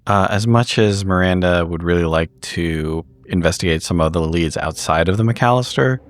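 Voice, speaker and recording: A man playing a tabletop game, describing his character's choices conversationally in a reflective, halting way. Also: sits low. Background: background music.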